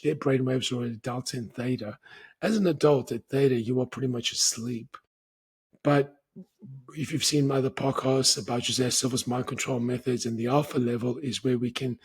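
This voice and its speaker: Man, very raspy voice, podcast host, measured explaining. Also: mid-range voice. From grew up speaking Portuguese. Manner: measured, repetitive explaining with restarts. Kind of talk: solo explanatory talk.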